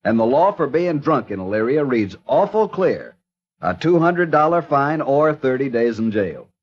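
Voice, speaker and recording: Casting: stubborn, self-righteous sheriff, mid-pitched, on a muffled old radio track. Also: male voice, very animated, moderate pace. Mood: stern. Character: stubborn small-town sheriff, man. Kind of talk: 1940s radio acting.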